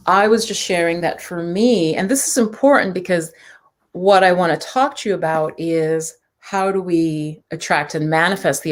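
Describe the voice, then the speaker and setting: A woman from New York hosting a casual live stream, reading viewers' comments aloud and chatting loosely with fillers and asides. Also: low-pitched. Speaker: livestream host.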